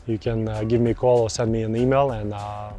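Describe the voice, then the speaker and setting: A man in his thirties from Russia, speaking English with a Russian accent as a real estate broker, answering viewer questions in a relaxed, chatty way and often repeating words for emphasis. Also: fairly low pitch, brisk, faint music behind. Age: 30s.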